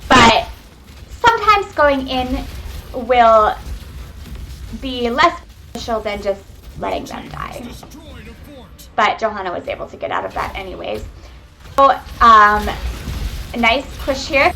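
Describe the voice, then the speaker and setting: A young woman giving excitable, chatty live commentary on a video game match, talking to her viewers from home on a webcam microphone. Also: on the high side, measured pace, long pauses, faint music behind. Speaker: esports caster. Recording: webcam mic with faint noise.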